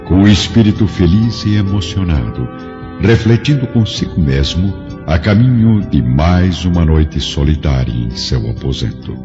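Very deep male drama narrator. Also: lively intonation. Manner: measured, dramatic. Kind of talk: scripted audiobook drama narration. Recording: dull lo-fi, clear music behind.

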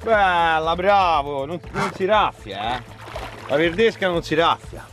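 A man's voice: on the high side, animated, a little slow, fairly bright tone, soft background music. A man hosting a fishing show, chatting casually on a small boat at sea and coaching his fellow angler.

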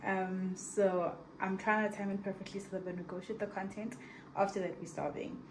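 A woman's voice: medium pitch, a little fast. Chatty woman vlogging. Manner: chatty, rambling, thinks aloud. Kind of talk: casual vlog chat to camera. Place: at home, partly in a kitchen. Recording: home video with steady background noise.

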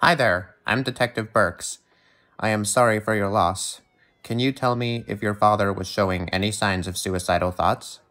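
A man's voice: lowish voice, moderate pace, fairly bright tone, lightly acted. A man playing a composed, sympathetic police detective in an audio drama. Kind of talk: amateur audio drama acting.

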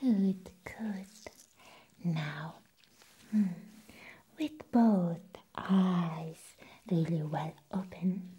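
A young woman whispers very slowly as an eccentric, playful vampire nurse in an ASMR medical exam. Her voice is extremely breathy and mellow, up close to the mic. She stretches her phrases and hums approvingly between them. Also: lowish voice, dull audio. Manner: slow, drawn-out phrases with frequent approving hums. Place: small indoor room set up as a clinic. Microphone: close ASMR microphone.

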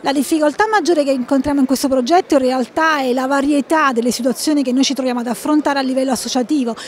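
A woman's voice: sits high, steady tone, quick pace. A woman working on a project, explaining it in an interview answer. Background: faint ambient noise.

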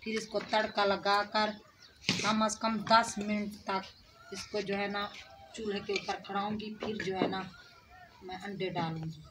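Brief: middle-aged woman vlog host from Pakistan, chatty and homely, mid pitch, outdoor courtyard, camera a few meters off, noisy audio. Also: flat delivery, a little slow. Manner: chatty, repeats filler phrases, addresses viewers warmly. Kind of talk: casual cooking vlog talk. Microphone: camera on tripod at a distance.

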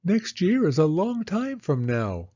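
A man's voice: high voice, huge pitch swings, measured pace, dark tone, no room sound, dull audio, voice pushed up, heavily acted. A man voicing a small, sweet and eager young girl mouse in a storybook, with an earnest, childlike manner. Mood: disappointed. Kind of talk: storybook character voice.

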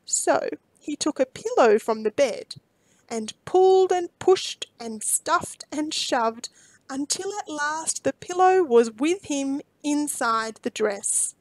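A woman reading a children's storybook aloud expressively, with deliberate phrasing and dramatic emphasis. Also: high voice, lively intonation, no room sound, low-quality recording.

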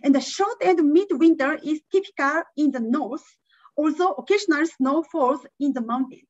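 A woman's voice: high-pitched, moderate pace, a little mellow, no echo, highs cut off. A woman, not a native English speaker, giving an academic conference talk over a video call, with many hesitant fillers. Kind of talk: online academic presentation. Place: indoor room.

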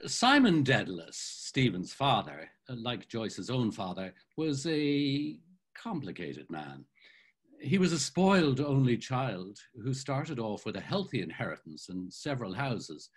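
A man in his sixties reads a novel aloud with lively, lilting phrasing. His voice is husky and mid-pitched, heard over a video call. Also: a little slow. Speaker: actor and reader. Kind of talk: dramatic literary reading.

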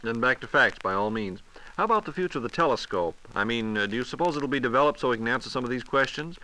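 An American man with a mid-pitched, bright and crisp-edged voice hosts a vintage radio show. He speaks in a polite, orderly announcer style, heard on a 1940s transcription disc. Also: expressive, quick pace, low-quality recording. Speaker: radio host. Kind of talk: 1940s radio hosting, scripted.

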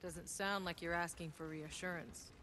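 Woman acting a tough game mercenary over loud ambience. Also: fairly low pitch, steady tone, normal speed, background noise, lightly acted. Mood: frank, weary. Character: tough, blunt female mercenary leader.

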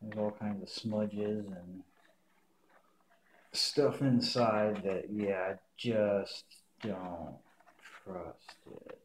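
Low-voiced man with a noisy home recording. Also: steady tone, extremely slow. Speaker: prop maker reviewing and modifying a costume mask. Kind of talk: casual unboxing and tutorial talk to camera. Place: indoor room at a table. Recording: clear background noise, handling clicks.

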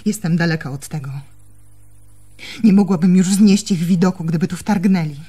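A woman's voice: lowish voice, normal speed, mellow, breathy edge, faint music behind. An actress in a Polish radio play, playing an anxious, haunted young woman in love, with intimate, brooding delivery. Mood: agitated, torn.

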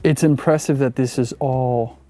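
A man's voice: medium pitch, fairly even intonation, moderate pace, warm tone, soft background music. A man interviewing a studio owner, reacting with impressed, short questions. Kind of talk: casual interview chat. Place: treated basement recording studio.

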